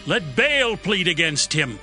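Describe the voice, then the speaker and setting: A man's voice: fairly high pitch, big pitch swings, takes it slowly, pushed and bright, heavily acted. An actor playing an indignant, defiant father who declaims challenges in a dramatized scripture recording. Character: Joash, defiant indignant father facing down a mob. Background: music.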